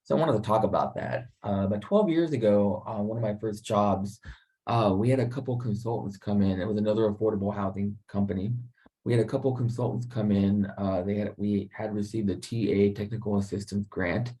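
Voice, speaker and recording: Man on panel, low and mellow, casual storyteller. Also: brisk, distant mic, low-quality recording. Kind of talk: panel discussion.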